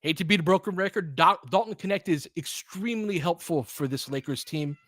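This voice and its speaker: A man's voice: a little high, a little fast, fairly bright tone, no room sound. A man calling live basketball play-by-play with casual analysis, informal and openly rooting for his team like a fan. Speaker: play-by-play commentator and host.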